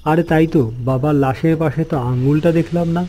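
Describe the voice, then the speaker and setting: High, mellow, shaken young son man acting. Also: fairly even intonation, fairly quick, close to an everyday voice. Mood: puzzled, shaken. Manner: disbelieving, hesitant. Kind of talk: horror cartoon voice acting.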